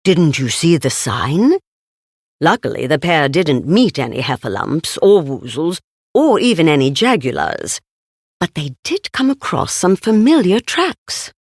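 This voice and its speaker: A woman reads a children's storybook at an unhurried, slow pace. Her pitch moves expressively up and down, and the recording is dry and close. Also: big pitch swings, a little mellow, no room sound. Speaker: storybook narrator.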